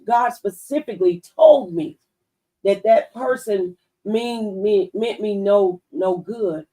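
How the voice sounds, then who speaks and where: Host: gravelly-voiced woman on radio talk, emphatic testifier, a bit off-mic. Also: medium pitch, sing-song, slow, mellow, recorded from a distance, low-quality recording. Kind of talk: radio talk show conversation. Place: radio studio.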